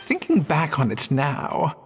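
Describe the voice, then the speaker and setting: An actor playing a thoughtful, measured male kitchen colleague in a video game. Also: fairly high pitch, wildly swooping pitch, soft background music. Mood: reflective. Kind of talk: video game dialogue acting.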